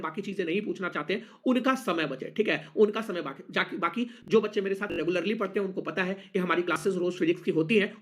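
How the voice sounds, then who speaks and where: A man's voice: high-pitched, fairly bright tone. A young Indian man speaking as an online teacher to his students, informally and emphatically, repeating key phrases and mixing in English words. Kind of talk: informal live lesson addressed to students.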